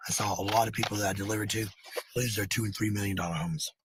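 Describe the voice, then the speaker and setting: A man with a raspy, gravelly voice in casual, rambling chat, blunt and swearing freely. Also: lowish voice, fairly even intonation, fast talker, no echo.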